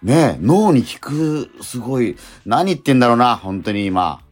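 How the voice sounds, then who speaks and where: A middle-aged man hosting a radio show in casual, animated banter. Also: medium pitch, normal speed, faint music behind. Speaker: radio show host and stage actor.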